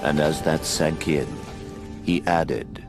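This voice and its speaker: A man's voice: rumbling low, steady tone, slow pace. A male actor playing a solemn storyteller narrating a fantasy legend in a video game. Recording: music under the voice. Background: music.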